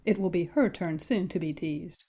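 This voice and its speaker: An actress playing a sensible young gentlewoman in a dramatized audiobook, with a knowing, teasing manner. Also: on the low side, normal speed, husky.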